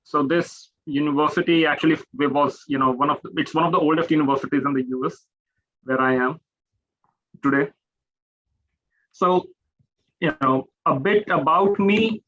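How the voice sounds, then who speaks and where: A man's voice: a little high, moderate pace, long pauses, a bit bright. A man in his thirties with an Indian English accent, giving an informal online lecture with many fillers and restarts. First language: Hindi or Punjabi. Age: 30s. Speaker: guest lecturer. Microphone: video call. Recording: dull lo-fi video call audio.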